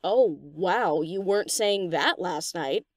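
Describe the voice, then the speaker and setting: A woman's voice: on the low side, expressive, takes it slowly, dry recording, studio quality. A woman voicing a cheeky, laid-back DJ pony in an audio story, with a casual, teasing, slangy delivery. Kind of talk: scripted audio story character acting.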